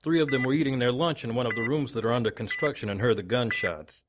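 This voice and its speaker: A man's voice: medium pitch, fast talker, close to an everyday voice. An actor playing a businesslike male police lieutenant in an audio drama, speaking drily and matter-of-factly. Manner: matter-of-fact. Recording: clear background noise, beeping.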